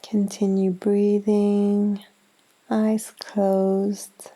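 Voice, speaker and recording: Slow, mellow woman guiding meditation. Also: medium pitch, monotone. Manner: gentle, soothing, unhurried with long pauses. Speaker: yoga nidra meditation guide. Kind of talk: guided meditation.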